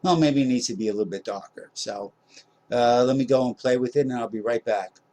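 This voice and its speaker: A man casually narrating a screen-recorded software tutorial, rambling with many fillers and small jokes about himself. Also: medium pitch, fairly quick. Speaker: tutorial host.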